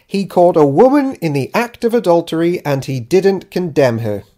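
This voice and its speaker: A man's voice: fairly high pitch, animated, moderate pace, dark tone, voice pushed up, lightly acted. A man reading an audiobook as Judas Iscariot, a self-important, grudging disciple keeping a diary, in a dry, theatrical, pompous manner. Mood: grave, resentful. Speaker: author reading own audiobook. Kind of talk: dramatic audiobook narration of a diary.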